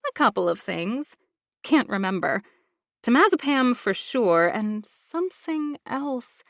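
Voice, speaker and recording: A woman doing a mocking villainess who drags out her words in a slow, sing-song taunt, pitched a little higher and louder than the narration. The sound is close and dry. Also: very animated, no room sound, exaggerated voice. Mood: breezy. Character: coolly menacing woman who drugs people, mocking.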